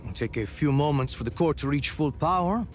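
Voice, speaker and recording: An actor playing a coldly polite, menacing villain boss in a game, suave and condescending. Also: male voice, fairly high pitch, animated, brisk, background noise, close to an everyday voice. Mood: calmly menacing. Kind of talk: video game cutscene acting.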